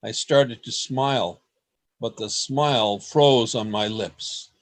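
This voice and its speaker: A man playing an anxious writer narrating his secret diary in a radio play, in a deliberate storytelling manner. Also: mid-range voice, natural voice. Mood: hushed dread.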